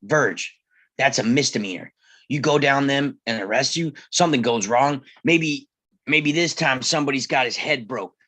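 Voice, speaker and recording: A man acts out a reluctant, cautious frontier lawman at a table read. The voice is bright and forceful at a middle pitch, with a halting, plain-spoken delivery and a weary temper. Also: natural voice. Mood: cautious, dismissive. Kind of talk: live screenplay table read.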